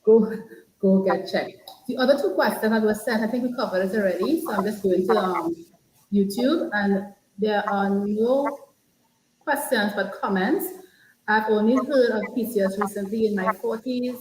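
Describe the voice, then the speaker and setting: A woman's voice: mid-range voice, moderate pace, darkish tone. Woman host in an echoey room. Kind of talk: interview host reading questions. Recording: echoey room with faint noise.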